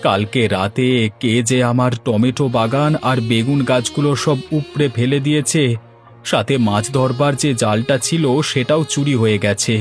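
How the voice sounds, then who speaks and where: A man's voice: mid-range voice, moderate pace, natural voice. Man acting a plaintive poor village husband, music behind. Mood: distressed, bewildered. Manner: plaintive, earnest. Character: poor, troubled, gentle village fisherman and husband. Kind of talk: Bengali moral-story cartoon dub.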